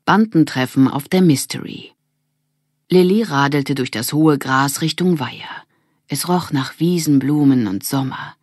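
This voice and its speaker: A woman reading a children's audiobook as narrator, with expressive scripted storytelling. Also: deep voice, unhurried, slightly rough, no room sound, clean studio sound.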